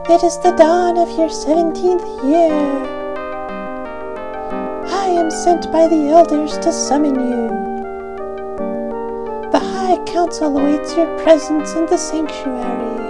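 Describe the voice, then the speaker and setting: A solemn fantasy game nymph, acted out by a man, high and unhurried, with ceremonial formality. Music plays prominently behind the voice. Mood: solemn summons. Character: solemn female messenger nymph summoning a youth.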